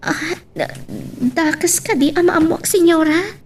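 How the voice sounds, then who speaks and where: A woman's voice: extremely high pitch, fairly even intonation, a little slow. A woman with an Ilocano accent playing a meek, lovestruck young housemaid in a melodrama, speaking hesitantly and deferentially. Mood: meek, dismayed.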